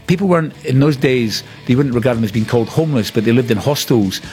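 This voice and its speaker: A man reminiscing thoughtfully, with hesitant pauses and "you know"s. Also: medium pitch, a little mellow, soft background music. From Scotland. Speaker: interviewee. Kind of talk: reflective reminiscing. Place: indoors in a museum.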